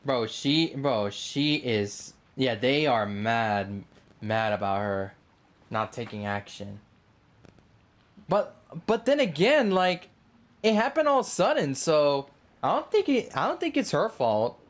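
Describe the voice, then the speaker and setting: A woman's voice: rumbling low, very animated, slow. A woman livestreamer giving casual, reactive gameplay commentary, informal and often repeating her phrases. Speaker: game livestreamer.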